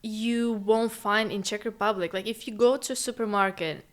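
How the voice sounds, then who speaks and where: A young woman from Uzbekistan, a native Russian speaker, chatting as a podcast guest in a lively way with frequent laughs. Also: medium pitch. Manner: lively, laughs often, quick asides. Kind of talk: casual chat.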